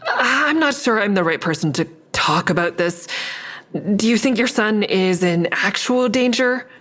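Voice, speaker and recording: A woman's voice: medium pitch, animated, unhurried, a bit bright, no room sound. An actress playing a guarded, uneasy female counselor who narrates her own story in an intimate, restrained audio drama style. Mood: guarded, professional.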